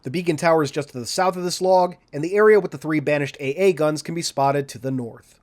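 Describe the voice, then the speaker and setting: A man reading a scripted video game walkthrough in a measured, orderly, instructional way. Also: fairly high pitch, darkish tone. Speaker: video game guide narrator. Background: background music.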